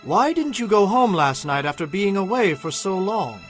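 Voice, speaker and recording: A man's voice: high-pitched, sing-song, moderate pace, fairly bright tone, faint music behind. An actor playing a commanding, decisive king in a dramatized audio Bible, with an imperious, emphatic delivery. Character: commanding, decisive adult male biblical king.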